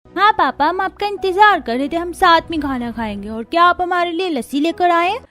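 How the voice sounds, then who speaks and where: A woman's voice: very high-pitched, lively intonation, a little fast, pushed and bright, exaggerated voice. A woman voicing an eager, pleading little child in a cartoon story. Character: eager little child who adores lassi and home food. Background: music.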